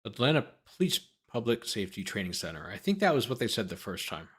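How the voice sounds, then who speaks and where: A man's voice: on the low side, big pitch swings, fast, husky. A man hosting a legal commentary show, reading a document aloud and breaking off for sardonic off-the-cuff asides. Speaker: host, lawyer commentator.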